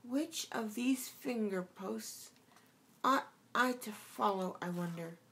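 A man reading aloud and voicing a puzzled, polite young girl in a soft, high voice. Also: slow pace, gravelly, heavily acted. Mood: hopeful.

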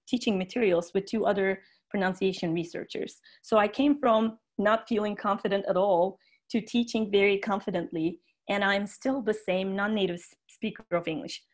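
A woman in her thirties whose first language is Turkish, giving an earnest online conference talk with frequent fillers over a webcam. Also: mid-range voice. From Turkey. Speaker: teacher trainer giving a conference plenary. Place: indoor room, webcam video call.

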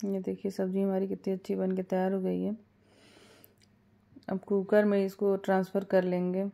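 Woman, cooking host, calm step-by-step explainer, mellow and even delivery. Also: medium pitch, normal speed, gravelly. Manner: calm step-by-step instructions with small fillers. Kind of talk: home cooking tutorial voice-over.

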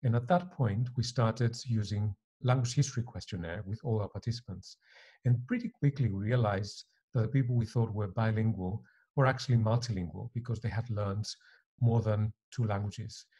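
A middle-aged man from Greece, a native Greek speaker, giving reflective interview answers as a professor with a slightly husky voice. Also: on the low side, normal speed, mellow, dull audio. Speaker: university professor being interviewed. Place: attic living room at home.

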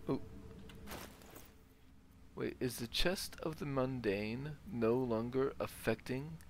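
Male let's player, casual and explanatory, mid-pitched, game ambience behind him. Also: a bit bright, slight background noise. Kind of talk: casual game walkthrough commentary. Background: video game ambience.

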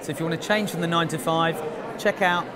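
A man's voice: a little high, steady tone, fast talker, a loud crowd. A man in his thirties presenting a show with jokey roving interviews in a busy exhibition hall. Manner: jokey, light-hearted. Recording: loud music in the hall.

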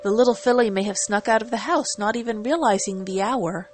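A woman's voice: fairly high pitch, brisk, darkish tone, faint music behind. A woman narrating a story in a dramatic reading style.

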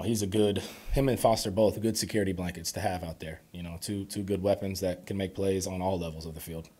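A young man in his twenties answering reporters at a postgame press conference, calm and measured with many "you know"s, speaking into podium microphones. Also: deep voice. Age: 20s. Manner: calm, measured, filler-heavy replies. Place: press conference room before a sponsor backdrop.

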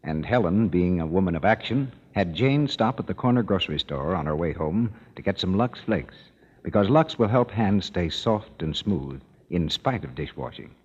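A man's voice: deep voice, animated, normal speed, a little mellow, husky. A man working as a radio announcer, with a formal, salesy delivery in 1930s broadcast style. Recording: dull old radio broadcast, hall reverb.

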